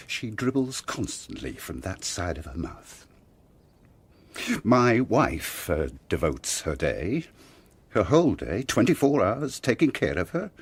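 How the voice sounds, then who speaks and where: Anguished, husky doctor, dramatically expressive. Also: male voice, mid-range voice, no room sound, heavily acted. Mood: bitter anguish. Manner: measured, formal, insistent, emphatic. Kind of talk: radio drama acting.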